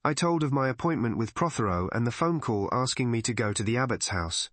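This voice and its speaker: A man's voice: medium pitch, expressive, fairly quick, a bit bright, no echo. A man reading a scripted mystery story as an audiobook narrator.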